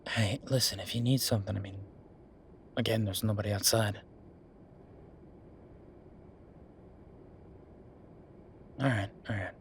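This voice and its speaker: A man playing a weary, wry younger werewolf boyfriend working late, speaking haltingly in an intimate roleplay audio drama. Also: steady tone, creaky, slight background noise. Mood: weary, concerned. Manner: low-key, sardonic, halting, sighing.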